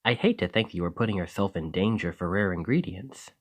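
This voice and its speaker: A man playing a friendly, excitable village alchemist in an ASMR roleplay, chatting eagerly to the listener in a slightly flustered, rambling way. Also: sits low, fairly quick. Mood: excited, concerned.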